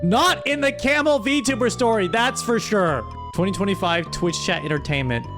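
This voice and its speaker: A man with a high-pitched voice hosts a casual livestream. He reads aloud and reacts with playful, exasperated commentary. Music plays clearly behind him. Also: animated, normal speed. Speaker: streamer hosting a chat story-writing game. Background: background music.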